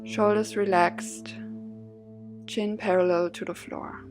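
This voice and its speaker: A woman leading a guided yoga and gratitude class as instructor, soothing and measured in her phrasing. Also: medium pitch, steady tone, music in the background. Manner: soothing, gently measured phrasing with breath cues.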